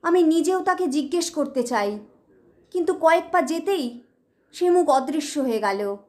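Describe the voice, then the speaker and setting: A woman's voice: high-pitched, lively intonation, normal speed, a little mellow. A woman reading a story aloud in a dramatic storytelling style. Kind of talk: dramatic audio story narration.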